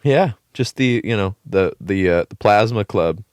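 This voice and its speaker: A man's voice: on the low side, lively intonation, unhurried, darkish tone, no room sound, studio quality. A young man co-hosting a podcast, telling a long story in a casual, rambling way with fillers and the odd swear word. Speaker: podcast co-host. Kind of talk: casual chat.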